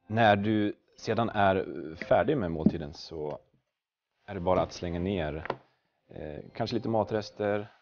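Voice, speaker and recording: A young man presenting a product demonstration in a halting, hesitant way. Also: low voice, a little mellow. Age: young adult. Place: basement prototype workshop, indoors. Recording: dull, hissy workshop recording with clicks.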